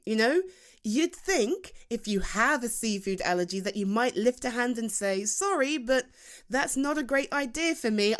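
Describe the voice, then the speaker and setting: A British woman playing a playful, chatty female best friend in a humorous, conversational ASMR roleplay. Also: fairly high pitch. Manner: animated, conversational, teasing, with laughs and sighs.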